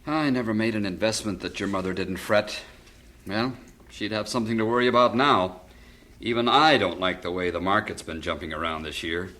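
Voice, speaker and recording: A man's voice: lowish voice, moderate pace. A fond philosophical father. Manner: gentle, wry, philosophical. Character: fond widowed father, stock investor. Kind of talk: vintage radio drama acting.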